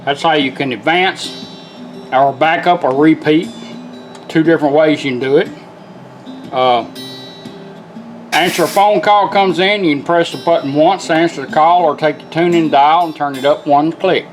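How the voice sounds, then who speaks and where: A man's voice: fairly high pitch, moderate pace, pauses often, soft background music. A man giving an informal hands-on product tutorial in a folksy, step-by-step way. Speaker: product demonstrator.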